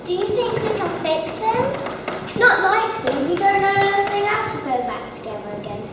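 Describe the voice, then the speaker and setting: A woman's voice: extremely high pitch, expressive, brisk. A young girl playing a doubtful, questioning pupil in a school play. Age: child or young pupil. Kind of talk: scripted school assembly acting. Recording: echoey school hall, distant mic, noisy.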